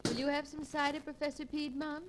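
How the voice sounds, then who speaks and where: Actress as servant girl, high-pitched, timid, flustered, deferential, vintage radio drama, faint noise. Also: flat delivery, lightly acted. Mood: polite, deferential. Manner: halting, anxious, deferential. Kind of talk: 1940s radio acting. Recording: noisy vintage 1940s radio recording.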